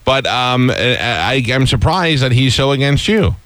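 A man's voice: mid-range voice, expressive, measured pace, a bit bright, no room sound. An American man hosting a radio interview in casual, joking chat, with a blunt, teasing and skeptical manner.

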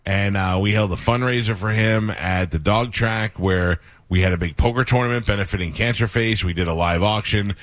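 Man, radio host, very low voice, rambling casual storytelling, dry studio sound. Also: normal speed, few pauses, dry recording.

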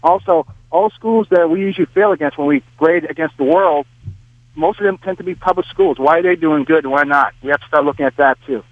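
Male caller, raised in Massachusetts, born in Portugal, rapid-fire, tinny phone sound. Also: on the high side, no echo. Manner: rapid, lists points one by one.

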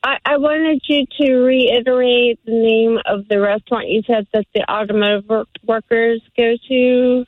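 A woman's voice: on the high side, fairly even intonation, normal speed, hardly any pauses, no echo. A woman calling into a radio show, polite and slightly hesitant. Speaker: caller. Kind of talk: phone call to a radio show. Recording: tinny phone line.

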